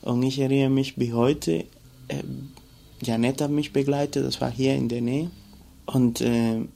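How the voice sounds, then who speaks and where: Reflective man, gravelly voice. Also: mid-range voice, fairly even intonation, measured pace, warm tone, a little hiss or hum. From Cuba. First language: Spanish. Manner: reflective, searching for words with many fillers. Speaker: interviewee. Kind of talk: personal storytelling.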